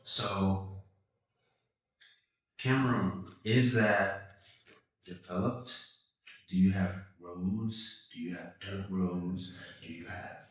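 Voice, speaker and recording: Deep-voiced male podcast host, echoey. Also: takes it slowly, pauses often. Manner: relaxed, joking questioner. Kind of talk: casual interview. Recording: echoey room, distant mic, faint noise.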